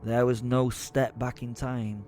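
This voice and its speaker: A man's voice: medium pitch, flat delivery, slow pace, mellow, soft background music, natural voice. An actor playing a violent, short-tempered male werewolf, narrating with theatrical, gleeful menace in an audio drama. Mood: angry, exultant.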